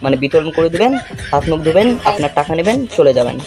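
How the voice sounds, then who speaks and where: Fast-talking man, music behind. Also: on the high side, expressive. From North Bengal, India. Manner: mock-polite, deferential on the call. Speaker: prank-call video host. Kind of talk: casual vlog talk and prank phone call. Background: background music.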